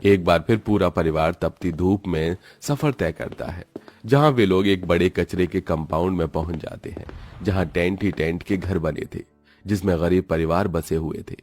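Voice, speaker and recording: A man's voice: low voice, normal speed, darkish tone. A man narrating a dramatic scripted story. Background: faint music.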